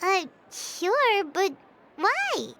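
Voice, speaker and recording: Squeaky, excitable little fairy. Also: female voice, very slow, full character voice. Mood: startled, puzzled. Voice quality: squeaky, childlike. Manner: refers to self in third person. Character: small childlike fairy companion, curious, naive, excitable. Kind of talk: anime game character acting. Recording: music behind the voice.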